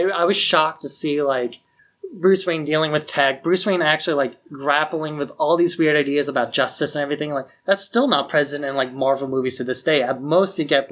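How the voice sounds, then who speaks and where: A man's voice: a little high, fairly bright tone. A man co-hosting a podcast, giving opinions in casual, conversational film discussion with "like" sprinkled through his sentences. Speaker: podcast co-host.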